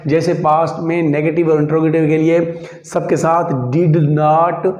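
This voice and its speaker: A man's voice: on the high side, monotone, a little slow, a little mellow. A man with a Pakistani accent giving a methodical, repetitive step-by-step grammar lecture to online students. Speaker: English grammar teacher. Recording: echoey room.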